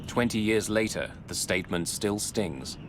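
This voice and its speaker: A man giving scripted, dramatic documentary narration in a measured, grave way, close on a studio mic. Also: lowish voice, lively intonation, noisy background. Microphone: close studio voice-over mic. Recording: music bed under narration.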